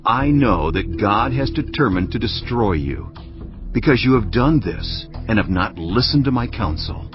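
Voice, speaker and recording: An actor playing a grave prophet who slowly rebukes a king in an audio drama. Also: fairly low pitch, lively intonation. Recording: muffled with clear music underneath.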